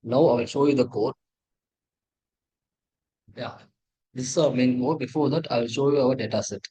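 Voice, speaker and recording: Male tutorial presenter, Indian accent, mid-pitched, stop-start delivery with repeats and self-corrections. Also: mellow, rough recording. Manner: halting, repetitive, self-correcting. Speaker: presenter of an engineering project demo. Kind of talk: tutorial walkthrough, partly read from slides.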